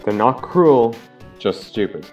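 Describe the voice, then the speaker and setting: Guy acting a blunt but sympathetic mediator, measured, slightly muffled lo-fi mic, faint music. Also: mid-range voice, lively intonation. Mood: sympathetic, frank. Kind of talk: fan comic dub acting.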